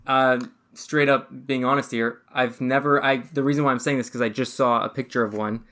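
A young man co-hosting a casual sports podcast, easygoing and quick to laugh, often restarting his sentences. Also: medium pitch, fairly even intonation, a bit bright. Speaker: podcast co-host.

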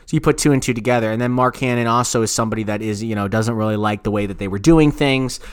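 A man's voice: lowish voice, fast, smooth, no echo. Man talking in a profane, rambling style. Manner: profane, repetitive, rambling explanations. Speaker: podcast co-host. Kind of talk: casual opinionated talk about sports radio.

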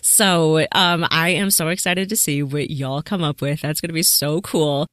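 A woman's voice: sits low, moderate pace, dry recording, clean studio sound. Effusive woman podcast host. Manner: warm, effusive hosting, "so exciting". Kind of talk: podcast interview hosting.

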